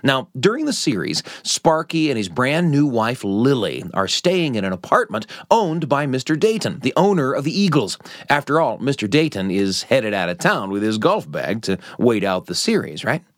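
Male American host, conversational storyteller, highly animated mid-range voice, dramatic rhetorical questions, dry close sound. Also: moderate pace, no echo.

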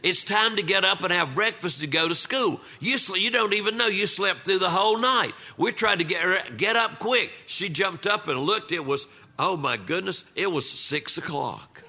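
Older male storyteller, very bright forceful voice, slightly high pitch, folksy, conversational, humorous family tales. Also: lively intonation, moderate pace. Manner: folksy, conversational, acts out quoted dialogue.

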